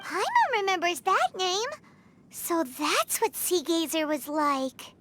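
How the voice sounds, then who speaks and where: A woman voicing a tiny, perky and blunt childlike fairy companion in a video game, with a squeaky voice. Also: big pitch swings, takes it slowly, noisy background, exaggerated voice. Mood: curious. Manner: refers to self in third person. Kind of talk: scripted game dialogue.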